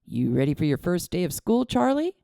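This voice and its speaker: A hearty, good-humoured town mayor speaking loudly and warmly, as a woman reading a storybook puts him on. His voice sits low for her, with a mellow, gravelly texture. Close and dry. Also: sing-song, brisk, no room sound. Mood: jovial. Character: friendly grown man, the town's jovial mayor.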